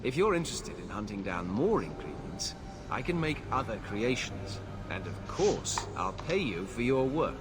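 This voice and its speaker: A man's voice: mid-range voice, big pitch swings, normal speed, lightly acted. A man playing a courteous, businesslike herbalist merchant in a video game. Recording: noisy game audio capture.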